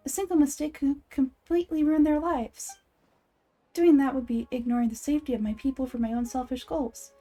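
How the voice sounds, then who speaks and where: A woman voicing a gentle, child-like goddess of wisdom in an anime game fan dub, measured, thoughtful and earnest. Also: high voice, fairly even intonation, faint music behind, close to an everyday voice.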